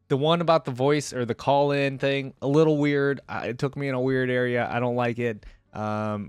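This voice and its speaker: A man's voice: medium pitch, clean tone, no room sound, clean studio sound. A man giving informal reaction commentary as host, thinking aloud in a casual way.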